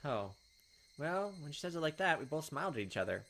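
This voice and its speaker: A man's voice: fairly high pitch, big pitch swings, fast talker, vocal fry, a bit bright. A young man reading a visual novel aloud as a let's player, with casual, wry commentary.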